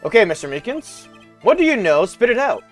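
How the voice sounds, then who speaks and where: A streamer voicing a confident male investigator who questions a witness in a lower, measured voice while reading a game aloud. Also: sing-song, faint music behind, lightly acted. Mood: probing. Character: confident male detective-lawyer, calm and probing.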